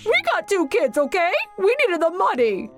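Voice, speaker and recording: A woman's voice: very high voice, big pitch swings, moderate pace, faint music behind, voice pushed up, exaggerated voice. A woman voicing a brazen, whiny middle-aged sister-in-law in a manga dub, defensive, snippy and demanding. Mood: defensive snapping. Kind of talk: exaggerated manga dub acting.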